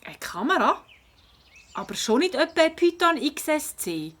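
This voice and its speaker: A spirited schoolgirl detective voiced by a woman, a little high in pitch. She is curious, determined and lively, with a Swiss German accent, made for a children's audio play. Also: moderate pace. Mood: surprised, keen.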